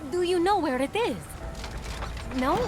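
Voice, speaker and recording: An actress voicing an eager, earnest and impatient young female warrior in a video game cutscene, exclaiming and animated. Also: extremely high pitch, a little slow, background noise. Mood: exasperated.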